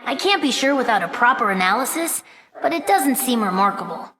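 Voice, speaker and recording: A woman's voice: fairly high pitch, animated, a little fast, fairly bright tone, slight background noise. A woman voicing an earnest, brainy young fox boy sidekick in a video game, with a youthful, articulate way of talking. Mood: focused, curious. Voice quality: youthful, boyish. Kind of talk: video game character voice lines.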